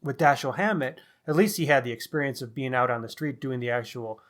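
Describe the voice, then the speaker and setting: A man's voice: mid-range voice, a little fast, a bit bright. A man co-hosting a conversational book discussion, candidly thinking his opinions through aloud. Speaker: podcast co-host.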